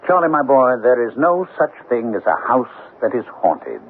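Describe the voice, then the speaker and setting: An actor playing a rational small-town doctor, formal and emphatic, in a noisy old radio drama. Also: male voice, mid-range voice, big pitch swings, normal speed, phone-quality sound. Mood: jovial, skeptical. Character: rational small-town male doctor, skeptical, briskly kind. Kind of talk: 1970s radio drama acting.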